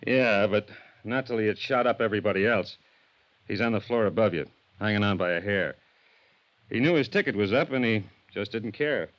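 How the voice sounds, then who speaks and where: An actor playing a tired, tough but caring police sergeant in a 1950s radio drama, talking in blunt, terse slang. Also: male voice, fairly low pitch, normal speed, fairly bright tone, natural voice. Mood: grim, weary. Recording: dull, muffled vintage radio recording.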